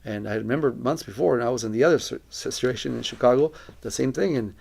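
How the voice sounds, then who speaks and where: A middle-aged man with a very creaky, gravelly voice at an ordinary male pitch. He gives a personal testimony as a missionary, speaking earnestly and reflectively, choosing his words with deliberation. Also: fast, a little mellow. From Colombia.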